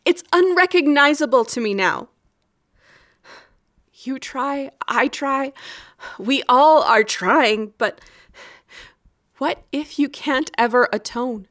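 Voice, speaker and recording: An actress playing a wronged, weary wife in an audio drama, speaking in a deliberate, accusing way, grave and resolute. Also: female voice, high-pitched, animated, fairly bright tone, exaggerated voice. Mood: reproachful, weary.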